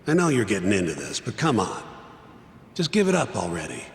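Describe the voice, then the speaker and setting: An actor playing a gruff, jaded older lawyer in a video game drama, with blunt, dismissive, colloquial speech. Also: male voice, fairly low pitch, wildly swooping pitch, a little fast, echoey room, in a large hall, full character voice. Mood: exasperated.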